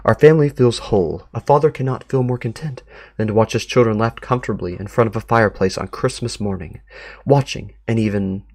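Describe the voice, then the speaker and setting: A man's voice: lowish voice, brisk, a little mellow, no echo. A man reading letters aloud as a sentimental, well-off doctor and devoted adoptive father, in formal, earnest words. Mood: sentimental, content.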